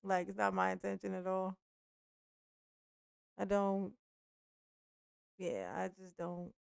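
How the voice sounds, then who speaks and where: A woman's voice: mid-range voice, level tone, slow pace, gravelly, clean tone, dry recording. A woman in her forties chatting casually on a live stream, speaking bluntly and profanely with frequent restarts and laughs. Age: 40s.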